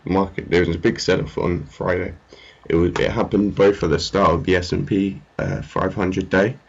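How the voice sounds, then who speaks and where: A man's voice: sits low, little pitch movement, normal speed, vocal fry, dull audio. A man giving casual, unscripted commentary on a screen as a market analyst, hesitant and rambling. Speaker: trading analyst presenting his market update. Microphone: amateur screen-recording voice-over.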